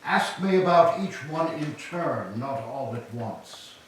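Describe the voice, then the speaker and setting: A man's voice: medium pitch, animated, slow pace. A man playing an evasive Greek herald bearing grim news, with emphatic delivery in a staged tragedy reading. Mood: brisk, insistent. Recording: distant, echoey hall with background noise.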